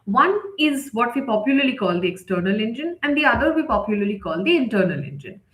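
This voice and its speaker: A woman with a fairly high voice presents a live online lesson as an instructor. She explains things point by point and often checks in as she goes. The sound is distinctly roomy and echoey. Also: very animated, fast talker. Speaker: writing instructor, editor leading a session.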